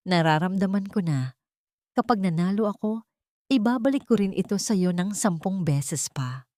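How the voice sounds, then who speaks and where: A woman's voice: medium pitch, lively intonation, normal speed, warm tone, no room sound, studio quality, close to an everyday voice. A woman voicing a callous, greedy gambler husband, snarling and insistent, in an audio drama. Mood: greedy insistence.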